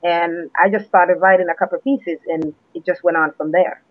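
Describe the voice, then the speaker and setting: A woman from Jamaica, a guest poet, chatting in an interview and telling her story in a relaxed way with restarts, heard over a phone line. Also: fairly low pitch, moderate pace, no echo. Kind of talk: casual interview chat. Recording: tinny phone line, dry.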